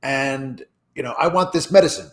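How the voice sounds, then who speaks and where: A man's voice: mid-range voice, normal speed. A man co-hosting a livestream who talks earnestly about politics, with frequent stammers and restarts. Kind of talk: casual livestream discussion.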